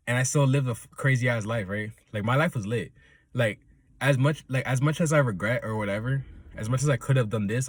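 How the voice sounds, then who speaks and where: A man's voice: medium pitch, slightly rough, no room sound, clean studio sound. A man in his twenties talking off the cuff in a loose, rambling monologue, chuckling often and checking in with the listener. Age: 20s. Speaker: solo talker.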